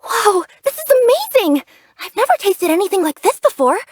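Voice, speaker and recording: Game role: young cat yokai delivery girl, high-pitched, bubbly, curious and earnest, very dry close studio sound. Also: big pitch swings, moderate pace, no echo. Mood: delighted. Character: cheerful young female cat yokai package courier. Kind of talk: English video game character voice acting.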